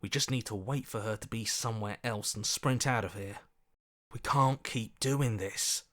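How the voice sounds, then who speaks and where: A man's voice: on the low side, moderate pace, a bit bright, close to an everyday voice. A man playing an earnest, anxious hero for a video game, speaking in a plain, urgent, questioning way. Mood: worried, urgent. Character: earnest, anxious heroic inner voice, adult man. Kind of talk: video game character acting.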